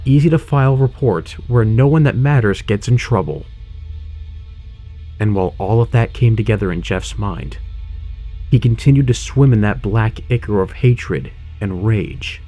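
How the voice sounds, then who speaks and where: A man's voice: medium pitch, dark tone. A man narrating a scripted horror audio drama in a dramatic storytelling style.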